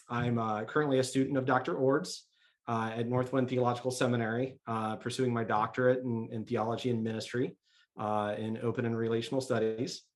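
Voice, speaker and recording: A man giving an informal talk as a guest speaker, hesitant, with many restarts and fillers. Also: medium pitch. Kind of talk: informal presentation. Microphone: video call.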